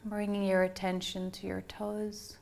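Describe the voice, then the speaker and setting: Woman doing guided meditation, soothing and unhurried, kinda even tone w lots of vocal fry. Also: mid-range voice, slight background noise. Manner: soothing, unhurried, gently instructing. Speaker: meditation guide, yoga instructor.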